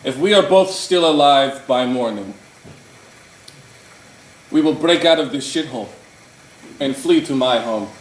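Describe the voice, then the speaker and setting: A man's voice: mid-range voice, animated, measured pace, pauses often, close to an everyday voice. A man playing a wary, blunt, swaggering prisoner in a stage play, with an expansive, teasing manner. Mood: bold, expansive. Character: wary, blunt, swaggering Italian male prisoner, opera lover. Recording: roomy stage recording, faint noise.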